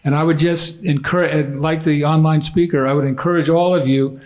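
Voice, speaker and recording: A man's voice: fairly high pitch, steady tone, darkish tone. A man from Vermont making an earnest, formal public comment at a school board meeting. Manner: earnest, formal, slightly halting.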